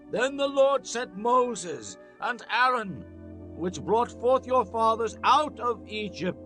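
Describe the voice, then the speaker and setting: A man acts out a stern old prophet in a dramatized scripture reading. The voice is high and forceful, declaiming solemnly and slowly to his people. Soft music plays underneath. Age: older. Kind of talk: dramatized King James Bible reading.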